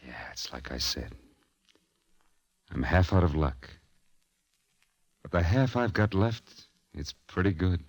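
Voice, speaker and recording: A low, deep-voiced gambler from an old-time radio play. He is cool and honorable, a tough man with a soft heart, and speaks in terse, understated slang. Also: very animated, slow pace, pauses often, exaggerated voice. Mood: tender, rueful.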